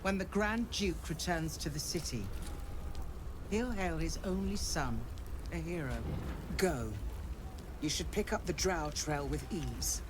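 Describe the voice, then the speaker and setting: Slow, stately councillor. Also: female voice, low-pitched. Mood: grave, formal. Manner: formal, stately, measured. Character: dignified, resolute female city councillor rescued from fire. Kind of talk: video game voice acting. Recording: game audio with clear background noise.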